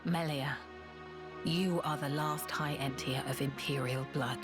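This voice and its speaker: An actress playing a proud, guilt-burdened former assassin in a video game, with a curt, formal way of talking. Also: female voice, low-pitched, fairly even intonation, measured pace, over music. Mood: firm, guarded. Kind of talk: video game cutscene acting.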